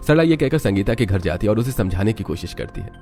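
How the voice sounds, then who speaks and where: A man's voice: on the low side, lively intonation, fast talker, warm tone, faint music behind. A man narrating a scripted family story as its storyteller.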